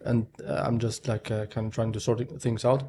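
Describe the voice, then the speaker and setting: A man's voice: lowish voice, little pitch movement, a little fast, warm tone, slight background noise. A man from Egypt hosting a casual interview, asking his questions hesitantly. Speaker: host.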